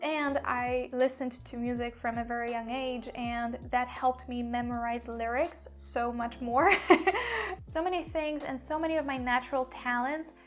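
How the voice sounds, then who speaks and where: A woman's voice: a little high, little pitch movement, moderate pace. A young woman with a slight Polish accent, a language mentor talking earnestly about her own learning, with lots of "like" fillers. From Poland. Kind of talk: personal vlog monologue.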